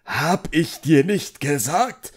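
A man doing a loud, high, self-important magician. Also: expressive. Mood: irritated. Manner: effusive, loud, drawn-out. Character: irritable, fawning, self-important middle-aged male magician.

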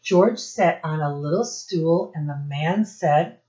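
Female storytime teacher, middle-aged, slightly low pitch, instructive read-aloud for young children, muffled home webcam recording. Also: mellow. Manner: instructive, addresses children directly, pauses to point things out. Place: small room at home, indoors.

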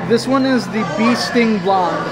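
A man in his thirties co-hosting a beer tasting vlog, talking in a casual, excitable, profane and joking way. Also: sits high, measured pace. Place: busy brewery taproom. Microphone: split-screen phone cameras at arm's length. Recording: loud music and crowd behind.

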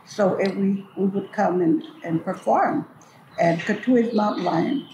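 The voice is an older woman's, at an ordinary pitch, casually sharing oral memories. She takes her time and often repeats a phrase to stress it. The room rings with echo and there is clear background noise. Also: a little slow. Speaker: storyteller and community elder recalling memories. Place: large echoey hall at a cultural building.

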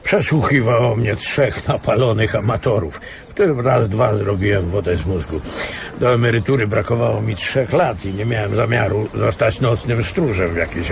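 Gravelly, low old ex-officer. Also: male voice, noisy background. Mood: grudging. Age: older. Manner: sardonic, patronising, chuckling asides. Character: world-weary, cynical old retired security-service officer. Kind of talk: Polish radio play acting.

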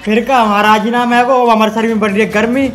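A man's voice: very high voice, fairly even intonation, quick pace, a bit bright. A man playing an eager young wrestler in a comic sketch, pleading with his coach. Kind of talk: comic sketch in village dialect. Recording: faint music bed.

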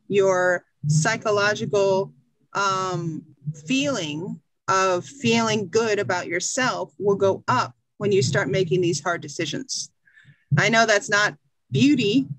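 A middle-aged American woman teaching an English class over a video call, speaking slowly and encouragingly from a small room at home. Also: medium pitch, fairly bright tone, no echo, rough recording. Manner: slow, encouraging, reads a passage aloud. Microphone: video call webcam.

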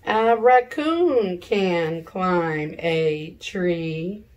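A middle-aged woman, an elementary teacher leading a read-aloud lesson for young children, speaking deliberately, sounding out words and asking questions. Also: on the low side, lo-fi sound. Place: living room at home, seated in an armchair.

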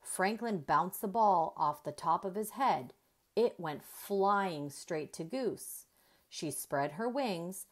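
A woman narrates a children's picture book aloud. Her voice is on the low side and swings widely in pitch. She takes her time, shaping each sentence expressively. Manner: deliberate, animated storytelling with emphasized dialogue. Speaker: children's storybook reader.

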